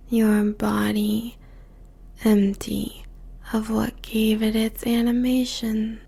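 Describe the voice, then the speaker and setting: A woman's voice: medium pitch, gravelly, mellow, slightly rough, heavily acted. A woman playing a seductive, possessive succubus demon queen in an intimate ASMR roleplay, whispering breathily and savoring each phrase. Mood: possessive, gloating. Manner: slow, drawn-out, sultry, savoring phrases.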